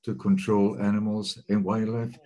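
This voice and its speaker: A man giving a simultaneous interpretation of webinar talks, speaking in a steady, measured way with occasional hesitations. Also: fairly low pitch. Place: remote webinar.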